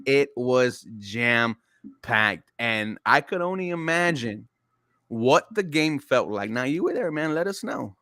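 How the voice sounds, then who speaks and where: A man's voice: medium pitch, expressive, bright, forceful tone, no room sound, clean studio sound. A young man hosting a casual sports podcast, talking in an emphatic, hyped-up way. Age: young adult. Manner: hyped, emphatic, plugs sponsors in a fast read. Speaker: podcast host.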